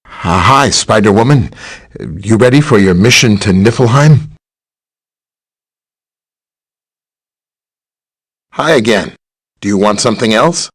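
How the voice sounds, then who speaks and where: A man's voice: lowish voice, lively intonation, moderate pace, husky. A man playing a friendly, easygoing superhero scientist in a video game, explaining things in a casual, conversational way. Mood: friendly greeting. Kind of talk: video game character dialogue, briefing and greeting.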